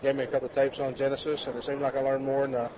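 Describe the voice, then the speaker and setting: A relaxed man from Arkansas talks quickly and fills his pauses with hesitant fillers. Loud background noise from outdoors runs under his voice. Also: medium pitch. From Arkansas, USA. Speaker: interviewee. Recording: noisy outdoor event ambience.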